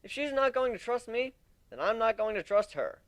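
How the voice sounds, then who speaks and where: A man's voice: very high-pitched, expressive, moderate pace, a bit bright, no echo, pitched above the performer's own voice. A man voicing a hot-headed, sulky young griffin in a dramatic story reading, sarcastic and informal. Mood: indignant, sulky. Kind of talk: dramatic reading of fan fiction.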